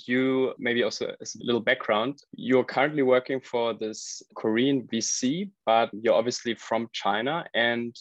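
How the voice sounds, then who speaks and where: A man's voice: medium pitch, dry recording, highs cut off. A man hosting a podcast, interviewing guests in a conversational style. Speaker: podcast host.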